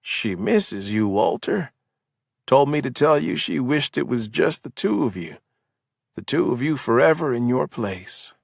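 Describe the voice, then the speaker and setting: A man doing a sedated Southern patient with a gruff drawl. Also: mid-range voice, expressive, measured pace, no echo, clean studio sound, voice pushed up, heavily acted. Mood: slow, haunted. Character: drugged male Southern war veteran patient, haunted.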